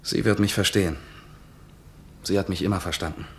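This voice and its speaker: An actor playing a determined young regimental doctor in a radio play, speaking earnestly and resolutely. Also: male voice, deep voice, steady tone, a little fast, slight background noise.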